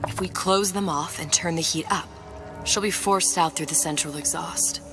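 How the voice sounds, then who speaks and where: A woman's voice: on the low side, moderate pace, music in the background, close to an everyday voice. An actress playing a cool, determined, mysterious young woman in a noir video game. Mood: urgent, resolute.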